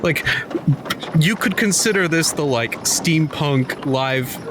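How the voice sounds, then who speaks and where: A man's voice: a little high, lively intonation, slow pace, background noise. Rambling, laughing male tabletop player. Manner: rambling, laughing explanations with many fillers. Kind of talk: playing a tabletop game, in character and out. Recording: music bed under the voices.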